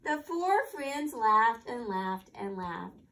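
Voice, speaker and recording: Animated woman leading children's storytime. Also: on the high side, takes it slowly, distant mic. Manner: simple instructions and questions addressed to small children. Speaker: children's library storytime host. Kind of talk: read-aloud storytelling and guessing games for young children.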